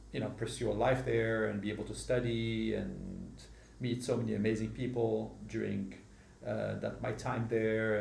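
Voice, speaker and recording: Gravelly man in an echoey room. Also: a little hiss or hum. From Morocco. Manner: animated, grows lively and high when telling stories. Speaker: podcast host. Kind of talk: casual conversational storytelling. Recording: roomy echo.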